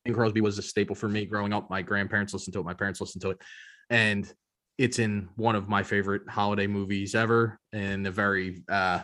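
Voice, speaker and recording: Male American podcast co-host, lower-pitched voice, casual chatty delivery. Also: flat delivery, fairly quick, a bit bright. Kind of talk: casual chat.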